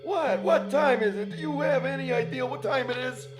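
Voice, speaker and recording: A man's voice: very high voice, moderate pace, over loud music. A man playing an irritable, brash caretaker in a parody of 1940s radio drama. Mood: irritated, brash. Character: grouchy, crude male caretaker woken at night.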